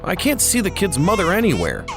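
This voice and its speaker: A man voicing a vain, anxious young celebrity in an animated story, with a theatrical, exasperated way of talking. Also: high voice, brisk, full character voice. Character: tall, vain, anxious young male celebrity, teasing and proud. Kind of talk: animated story character dialogue. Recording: voice over background music. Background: music.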